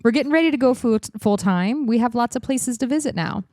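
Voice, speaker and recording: A woman with a slightly high voice co-hosting a podcast in easy, teasing chat. She sounds close to the mic in a dry room. Her talk is relaxed and jokey. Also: brisk, no room sound. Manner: playful, teasing. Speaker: podcast co-host, magazine editor-in-chief.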